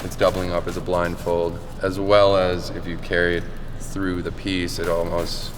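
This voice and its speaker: Man, low monotone voice, measured, pausing often, music in the background. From Finland and Canada. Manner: measured, thoughtful, with pauses and restarts. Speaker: painter. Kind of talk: reflective interview monologue. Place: large art gallery. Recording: long reverb with music behind.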